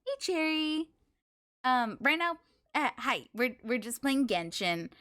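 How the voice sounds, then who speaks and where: High-voiced woman game streamer, expressive. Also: takes it slowly. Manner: expressive, exclamatory, thinking aloud. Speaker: live streamer playing a video game. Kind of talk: casual chat with stream viewers while gaming.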